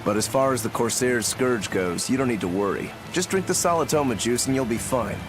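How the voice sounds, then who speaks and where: A man's voice: medium pitch, lively intonation, fairly quick, close to an everyday voice, rough recording. A man acts a young swordsman in a video game. His medium voice is casual and laid-back, with an easygoing manner over a music bed. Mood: breezy.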